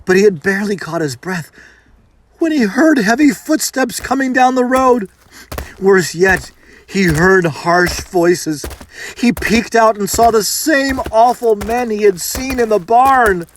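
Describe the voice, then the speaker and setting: Slow, highly animated male storyteller. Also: slight background noise. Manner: theatrical, suspenseful, emphatic. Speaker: storyteller reading a folktale. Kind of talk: dramatic scripted narration.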